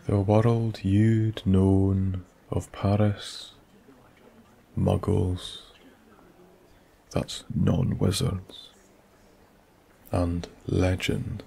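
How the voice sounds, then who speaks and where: A man with a Scottish accent narrating a soft-spoken bedtime story in a slow, hushed, soothing way that drifts into whispers. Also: lowish voice, gravelly, breathy edge.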